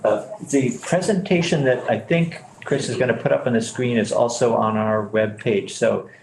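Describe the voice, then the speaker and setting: A man's voice: medium pitch, normal speed, gravelly, darkish tone. A man chairing a legislative committee who speaks in an easygoing, hesitant way with frequent "uh"s. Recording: echoey hearing room with faint hiss.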